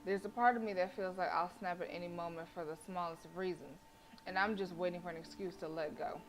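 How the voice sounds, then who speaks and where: Woman streamer reading out a visual novel, kinda low voice, expressive, game music behind. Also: fast talker. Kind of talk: reading visual novel narration aloud with casual reactions.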